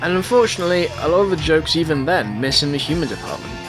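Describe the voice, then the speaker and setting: A man's voice: fairly high pitch, expressive, fast talker. Young man narrating over music. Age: young adult. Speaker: student documentary narrator. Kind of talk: scripted documentary narration.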